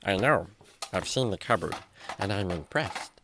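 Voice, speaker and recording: A man playing a naive, chatty, polite animal friend in a children's radio drama, with a halting, wordy way of talking. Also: low-pitched, animated, background noise. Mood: hesitant, polite. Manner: hesitant, wordy, comically halting.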